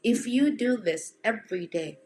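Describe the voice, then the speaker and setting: A woman's voice: a little high, expressive, moderate pace, a little mellow, soft background music. A woman from Japan with a Japanese accent, giving casual, direct instruction as a home organizing coach and speaking to the listener in an encouraging, plain-spoken way.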